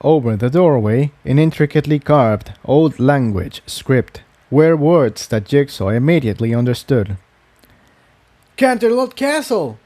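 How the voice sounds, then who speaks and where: A man's voice: medium pitch, moderate pace, few pauses, dark tone, dry recording. A man reading a fantasy adventure story aloud as its narrator, in scripted narration. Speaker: narrator, fan fiction reader.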